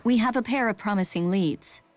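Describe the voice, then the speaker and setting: A woman's voice: mid-range voice, moderate pace, natural voice. A woman voicing a businesslike corporate aide in a video game, formal and composed. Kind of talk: English video game voice acting.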